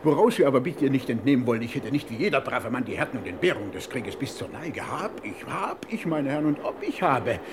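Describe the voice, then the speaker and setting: An actor playing a bashful yet boastful war veteran in a crime radio play parody, formal, hesitant and repeating himself. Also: male voice, mid-range voice, big pitch swings, brisk, hardly any pauses, natural voice. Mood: flattered, boastful. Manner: hesitant, repetitive, formal, chuckling. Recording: noisy old radio drama recording.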